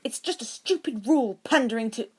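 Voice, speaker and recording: A woman's voice: on the high side, expressive, fairly quick, a little mellow, husky, pitched above the performer's own voice. A woman voicing a snide, haughty schoolboy wizard who complains with snooty emphasis, in a storybook reading. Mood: dismissive.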